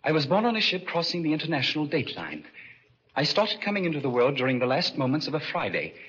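Bright, haunted living dead man. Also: fairly high pitch, sing-song, breathy edge, heavily acted. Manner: halting, grave, dramatic. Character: haunted, earnest walking dead man who foresees the future. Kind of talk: 1940s radio drama acting. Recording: muffled, noisy 1940s radio recording.

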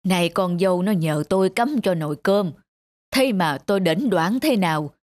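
An actress playing a kindly elderly mother-in-law in a cartoon, calm and gently directing, with a northern Vietnamese accent. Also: fairly low pitch, moderate pace, natural voice. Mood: apologetic. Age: older. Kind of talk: animated moral-tale dialogue.

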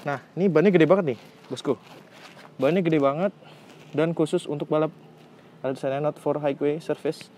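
A man's voice: on the high side, moderate pace, long pauses, a little mellow. Young man in a casual, slangy vlog. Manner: colloquial, slangy, exclamatory. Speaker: motorcycle reviewer. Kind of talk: casual hands-on vlog review. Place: outdoors on a residential street. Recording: outdoor phone recording with background noise.

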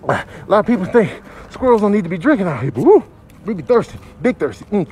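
Male comedian, high and very lively, slangy exclamations and stretched interjections, noisy background. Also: quick pace. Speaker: comedian doing animal voiceovers. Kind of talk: improvised comic voiceover. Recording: clear background noise.

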